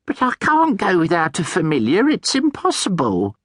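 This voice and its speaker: A man voicing a fussy, excitable old hag of a witch with a shrill, exclaiming voice. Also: normal speed, no echo, clean studio sound, heavily acted. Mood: fretful.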